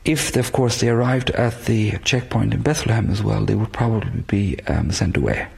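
Irish priest, raspy gravelly voice, lots of ums and uhs. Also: male voice, lowish voice, normal speed. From Ireland, west coast. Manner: hesitant, many fillers. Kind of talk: interview answers.

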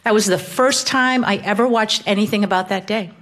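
A woman's voice: medium pitch, a little fast, fairly bright tone. A woman reading a reflective personal essay in an earnest, emotional way.

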